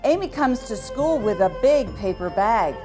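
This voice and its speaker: A woman's voice: on the high side, animated, normal speed, dark tone, soft background music. A woman narrating a children's storybook from a script. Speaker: storybook reader.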